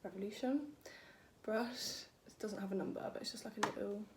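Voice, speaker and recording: A young woman with a croaky voice, chatting casually through a makeup tutorial and making fun of herself as she works. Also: medium pitch, fairly even intonation, a little fast. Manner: chatty, self-deprecating, talks while working. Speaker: beauty vlogger. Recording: echoey room with faint noise.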